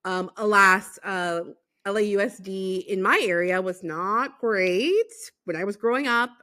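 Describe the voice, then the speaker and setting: A woman's voice: medium pitch, unhurried, bright, forceful tone. A woman from Los Angeles hosting a podcast, chatting animatedly with lots of fillers and laughter. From Los Angeles, USA. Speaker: podcast host. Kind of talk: casual interview chat.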